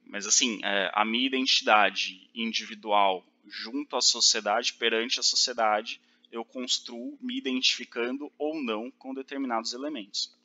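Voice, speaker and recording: Guy teaching a class, really bright voice, walks through it slow with lots of um and ok. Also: medium pitch. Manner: explains step by step, many fillers and restarts, checks with "ok?". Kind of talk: recorded lecture.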